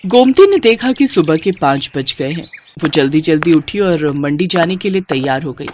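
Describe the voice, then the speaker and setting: A woman's voice: low-pitched, animated, normal speed, a little mellow, slight background noise. A woman narrating a scripted moral story as the storyteller.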